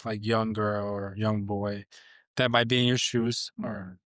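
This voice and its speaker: A man hosting a casual podcast interview, encouraging his guest with short agreeing replies and halting, searching questions. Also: on the low side, level tone, a bit bright, no room sound, studio quality. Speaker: podcast host.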